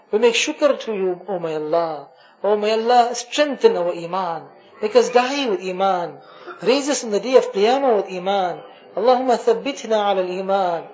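A man's voice: high-pitched, darkish tone, highs cut off. Man pleading in a chanted supplication. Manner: pleading, repetitive invocations, shifting between chanted and spoken. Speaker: imam leading prayer. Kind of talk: emotional supplication after night prayers. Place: mosque during a night gathering.

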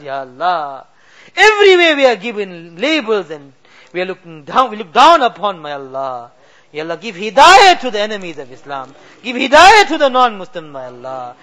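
A man leading an emotional supplication, weeping and pleading, his voice swinging between loud cries and hushed murmurs. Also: very high-pitched, poor audio. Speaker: imam leading a dua.

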